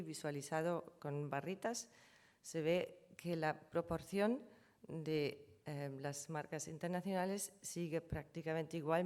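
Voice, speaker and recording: A woman with a notably low voice presents at a conference, her first language being German. She explains figures from her slides one by one and often corrects herself mid-sentence. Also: a little mellow. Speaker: conference speaker on trademarks.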